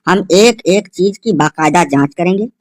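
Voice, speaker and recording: A man's voice: sits high, normal speed, no echo, natural voice. A man playing a stern, cautious police inspector in a comedy cartoon, officious and firm.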